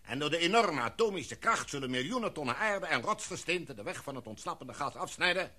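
A commanding expedition chief, played by a man, holds the floor of a meeting in a radio drama. His voice is bright and forceful, a little high for a man, and his tone stays formal and decisive. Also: very animated, brisk, husky, exaggerated voice. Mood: measured. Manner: formal, speech-like address to a meeting. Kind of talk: radio play acting.

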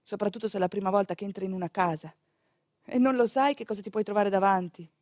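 A woman with a northeastern Italian accent telling a theatrical monologue in an expressive, conversational manner. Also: mid-range voice, fast, dry recording, studio quality. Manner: expressive, conversational, with rhetorical questions and asides. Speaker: stage actor and storyteller.

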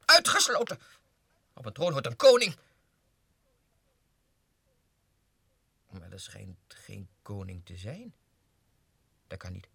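An actor playing a deluded, self-important low-ranking Russian clerk going mad, in a theatrical radio drama monologue that swings between muttering and agitated outbursts. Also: male voice, wildly swooping pitch, unhurried, creaky, bright, forceful tone, husky, dry recording, full character voice. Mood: outraged. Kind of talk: 1960s radio drama monologue, diary entries. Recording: dry 1960s radio studio recording.

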